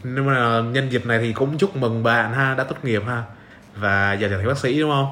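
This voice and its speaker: A man's voice: medium pitch, fairly even intonation, normal speed, sharp tone. A young man with a southern Vietnamese accent, hosting a podcast as a casual interview chat. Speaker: podcast host. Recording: roomy echo, noticeable background hiss.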